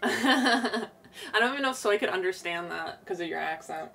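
A young woman streamer chatting casually and softly while wrapping gifts on her bedroom floor, stammering a little now and then. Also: medium pitch, normal speed, gravelly, slight background noise. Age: young adult. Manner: soft-spoken, relaxed, stammers a little. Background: background music.

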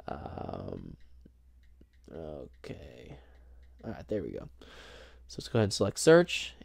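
A young man talks through a phone tutorial at a table, his voice low and a little gravelly. He explains each step plainly, with fillers and the odd embarrassed chuckle. The sound is dry, with no room echo. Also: darkish tone. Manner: chatty, explains step by step with fillers and small self-laughs. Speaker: tech tutorial host. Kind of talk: casual screen-demo walkthrough. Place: indoors at a table, filming a handheld phone. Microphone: camera mic behind the phone.

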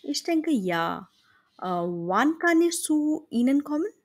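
A woman with an Indian accent voicing a polite, hopeful young Indian woman looking for a job, in slow, carefully pronounced scripted dialogue for learners. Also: high-pitched, sing-song, voice pushed up, heavily acted. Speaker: German teacher.

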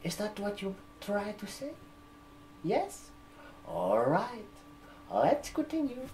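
A man in his twenties doing an ASMR role-play as a barber shop receptionist, talking to a customer in a halting, repetitive way. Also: sits high, huge pitch swings, a little mellow. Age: 20s. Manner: slow, halting, repeating words while typing. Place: room with sofas, indoors. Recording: roomy echo, keyboard typing. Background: computer keyboard typing.

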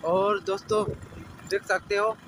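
A man's voice: high voice, steady tone, unhurried, noisy background. A young man, chatting to viewers on a village vlog while filming himself outdoors on a handheld phone. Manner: chatty, keeps addressing viewers as friends. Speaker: vlogger. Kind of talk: casual outdoor vlog narration. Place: outdoors in open farm fields. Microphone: phone selfie camera at arm's length.

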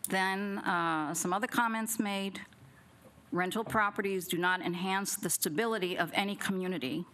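A woman's voice: medium pitch, steady tone, normal speed, fairly bright tone. A middle-aged woman at a council podium mic, giving a pointed public comment full of questions, partly read from notes. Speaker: resident speaking at public comment. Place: city council chamber at a podium. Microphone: podium gooseneck mic.

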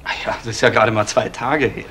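An actor playing a sceptical, matter-of-fact police detective in a German radio crime drama, with a dry, probing manner. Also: male voice, mid-range voice, fairly even intonation, fast talker, fairly bright tone, natural voice. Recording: faintly noisy old radio play recording.

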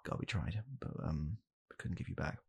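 A young man playing a blunt, callous father in an ASMR comedy roleplay, speaking softly and haltingly in a deadpan way. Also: a bass voice, creaky, husky, full character voice. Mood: deadpan, bleak. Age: young adult. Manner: soft-spoken, halting, deadpan. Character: blunt, callous father calmly blaming his child. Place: small home room, indoors.

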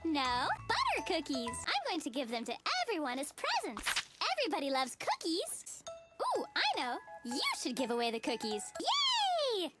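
A woman voicing a relentlessly cheerful, naive little girl in a children's cartoon, squeaky, bubbly and gushing, with a sing-song delivery. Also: wildly swooping pitch, normal speed. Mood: gleeful. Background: playful children's music.

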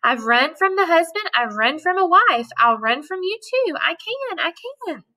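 A woman's voice: extremely high pitch, very animated, moderate pace, pushed and bright, slight background noise, pitched above the performer's own voice, exaggerated voice, low-quality recording. A woman voicing a cheeky, boastful little gingerbread man from a storybook, in a taunting sing-song chant.

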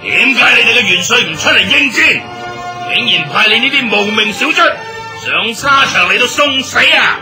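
A man's voice: very high voice, expressive, normal speed, over music. An actor playing a haughty, warlike king in a radio drama, imperious and theatrical, with a Hong Kong Cantonese accent. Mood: scornful. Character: haughty warlike male enemy king leading his army.

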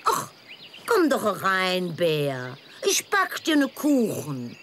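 Coaxing little piggy, wildly swooping pitch, unhurried, children's audio play. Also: female voice, huge pitch swings, a bit bright, a little hiss or hum, heavily acted. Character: sly, coaxing little female pig.

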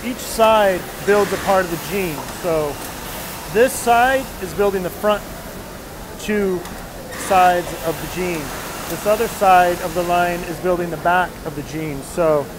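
A man's voice: sits high, measured pace. A middle-aged man, a denim designer, casually explaining the work as he walks a large factory floor and points things out, recorded on a wearable camera mic. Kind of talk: casual walkthrough narration. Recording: loud machine and air conditioning noise.

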